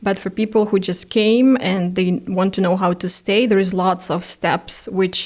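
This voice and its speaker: A woman's voice: medium pitch, steady tone, fairly quick. A young adult woman with a Ukrainian accent, a non-native English speaker, explaining immigration rules in a podcast interview with frequent laughs. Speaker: immigration consultant.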